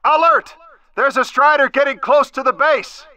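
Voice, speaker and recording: A man's voice: very high voice, normal speed, sharp tone, dry recording, full character voice. An actor playing an alert male base announcer in a video game, calling out battle warnings in an urgent, clipped manner.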